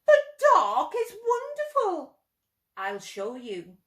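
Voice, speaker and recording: A woman's voice: high voice, wildly swooping pitch, slow, fairly bright tone, pitched above the performer's own voice, exaggerated voice. A woman voicing a friendly, easily startled stargazing man in a children's storybook reading. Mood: enthusiastic. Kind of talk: children's storybook read-aloud. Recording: roomy echo.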